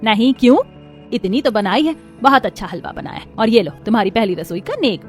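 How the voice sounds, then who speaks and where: A woman's voice: a little high, animated, fairly quick, soft background music, lightly acted. A woman voicing a kind, reassuring middle-aged mother-in-law in a Hindi cartoon story. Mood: proud, affectionate.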